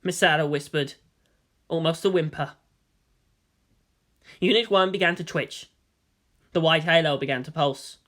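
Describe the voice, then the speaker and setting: A man's voice: a little high, unhurried, long pauses, bright, forceful tone. A man giving a dramatic scripted narration of a fan fiction story.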